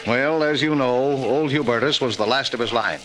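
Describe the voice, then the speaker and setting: A man plays a hard-nosed managing editor in a vintage radio mystery. He is forceful and businesslike in a medium-pitched, bright voice, with hiss running under the old recording. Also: close to an everyday voice, rough recording. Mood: businesslike, intent. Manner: brisk, assertive, businesslike. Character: brusque, no-nonsense newspaper managing editor. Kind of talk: 1940s radio mystery acting.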